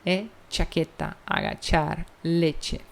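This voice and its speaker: A woman's voice: sits low, huge pitch swings, creaky. Chatty Brazilian woman teaching Spanish. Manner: chatty, checks in with "tá bom?" after points; slows down to spell out example words. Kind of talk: online language lesson.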